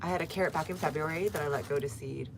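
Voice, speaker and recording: A woman with a low, slightly stuffy voice talks casually to her live viewers, answering comments with plenty of fillers. She is recorded outdoors in a garden, and the sound carries a noticeable noisy background. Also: very fast. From United States. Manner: chatty, reads viewer comments aloud, frequent fillers. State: stuffy nose. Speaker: gardening livestreamer. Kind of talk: casual live-stream chat.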